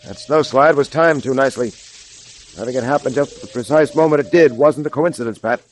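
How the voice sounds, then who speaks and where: An actor playing a resolute police detective hero, commanding and earnest, in 1930s radio drama style. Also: male voice, mid-range voice, brisk, faint music behind, lightly acted. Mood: firm, earnest. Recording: faintly noisy 1930s radio recording.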